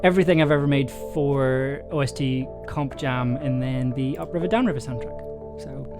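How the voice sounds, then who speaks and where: A man's voice: mid-range voice, moderate pace. A man livestreaming in a casual, hesitant way, thinking aloud and reading from his own story draft. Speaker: streamer and audio drama writer. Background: chill soundtrack music.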